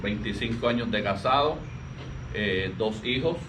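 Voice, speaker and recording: Puerto Rican male pastor, 40s, gentle hesitant delivery, distant mic, light background noise. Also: on the low side, lively intonation. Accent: Puerto Rican Spanish. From Puerto Rico. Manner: soft-spoken, halting, frequent restarts. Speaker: pastor, interview guest. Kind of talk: relaxed conversational interview.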